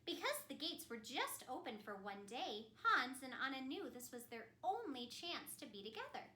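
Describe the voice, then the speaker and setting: A woman's voice: high voice, sing-song, moderate pace, sharp tone. A woman telling a children's story in the first person as an ice queen, theatrical and speaking simply and directly to children. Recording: roomy echo.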